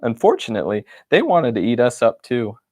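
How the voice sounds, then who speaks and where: A man's voice: mid-range voice, wildly swooping pitch, a little fast, a little mellow, no room sound, clean studio sound. A man voicing a cheerful, happy-go-lucky wooden stick who reads his diary with bubbly enthusiasm. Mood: excited.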